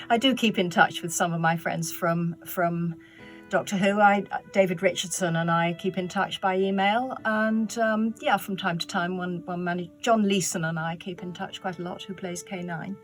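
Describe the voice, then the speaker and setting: A woman's voice: fairly low pitch, fairly even intonation, moderate pace. A woman who acts, talking casually in an interview. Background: music.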